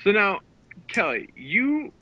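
A man co-hosting a podcast, asking halting, hesitant questions. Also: sits high, sing-song. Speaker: podcast co-host. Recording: dry muffled call audio.

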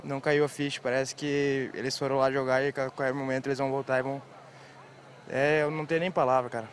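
Young brazilian guy, flat halting voice, outside. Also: mid-range voice, slight background noise. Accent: Brazilian Portuguese. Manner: halting, lost for words. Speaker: interviewee. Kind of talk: emotional street interview. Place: outdoors at a stadium. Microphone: reporter's handheld mic.

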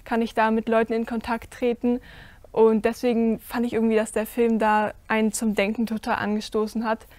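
A teenage girl speaks earnestly on a studio panel, searching for words with many fillers. Her voice is fairly high yet flat in melody. The sound is dry and close. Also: a little fast, no echo. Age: teen. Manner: earnest, filler-heavy, thoughtful. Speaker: youth panelist. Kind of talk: panel discussion. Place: indoor studio set with curtains.

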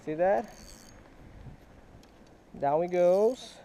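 Guy teaching a kid to fish by a creek, high-ish voice, very mellow, patient and quizzing. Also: very slow, a little hiss or hum. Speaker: fishing vlogger. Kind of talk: casual teaching chat while fishing. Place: outdoors by a small creek in a park. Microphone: head-mounted action camera.

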